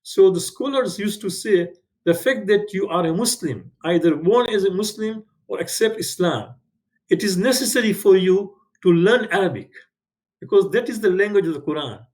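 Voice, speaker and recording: A man's voice: sits high, moderate pace, a little mellow. A man from Tanzania, whose first language is not English, teaching an online class in a conversational way, often checking in with "right?" and correcting himself as he goes. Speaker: instructor. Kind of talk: online lecture. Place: home in Canada.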